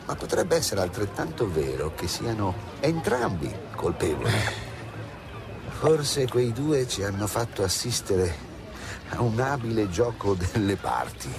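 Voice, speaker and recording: A man's voice: lowish voice, very animated, measured pace, creaky, exaggerated voice. An actor playing a genial, wry and shrewd male police commissioner in a radio drama. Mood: wry, pondering. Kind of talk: Italian radio drama acting.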